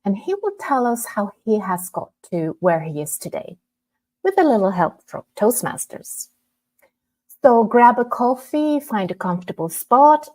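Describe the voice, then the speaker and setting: A woman hosting a podcast, reading a prepared introduction in a measured way and then asking questions. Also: medium pitch, a little mellow. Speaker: podcast host. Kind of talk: scripted podcast introduction and interview.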